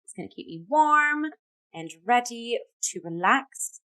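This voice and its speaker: A woman's voice: on the high side, lively intonation, a little slow, bright, forceful tone, low-quality recording. Upbeat, chatty woman hosting. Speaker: host of a performing arts review show. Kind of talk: conversational review show hosting.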